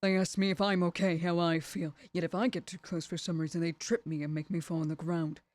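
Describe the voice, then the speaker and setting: A woman's voice: lowish voice, level tone, brisk, darkish tone, no room sound, lightly acted. A woman playing an irritable, stubborn assassin in an ASMR audio roleplay, blunt and dismissive in her delivery. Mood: exasperated, dismissive. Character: irritable, stubborn female assassin, undead and aloof.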